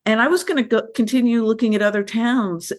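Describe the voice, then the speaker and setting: A woman filmmaker being interviewed, telling stories about her work in a lively, chatty way with many fillers and restarts. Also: fairly high pitch, brisk. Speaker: filmmaker guest. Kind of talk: conversational interview.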